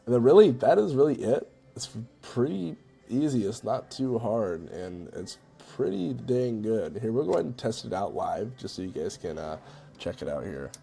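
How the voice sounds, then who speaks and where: A man's voice: mid-range voice, sing-song, moderate pace, vocal fry, mellow. A man giving a casual, conversational walkthrough as a tutorial presenter, in an informal way. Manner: informal, conversational, checks in with "right?" and "you know". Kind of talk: casual screen-recorded software walkthrough.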